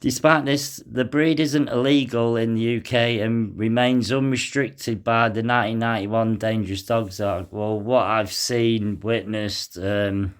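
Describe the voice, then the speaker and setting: Slow, halting man reading news. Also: lowish voice, fairly even intonation, clean tone. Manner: halting, many restarts, fillers and sighs. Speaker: vlogger reading a news article aloud. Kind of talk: casual commentary mixed with reading aloud.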